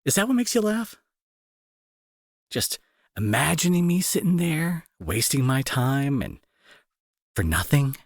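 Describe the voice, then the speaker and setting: A man's voice: on the high side, big pitch swings, a little slow, long pauses, no echo, studio quality, full character voice. A young man playing an irritable, sarcastic bully who scolds with hidden worry, in an intimate roleplay audio drama. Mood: irritated, demanding. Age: young adult. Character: irritable young male bully, gruff but secretly worried.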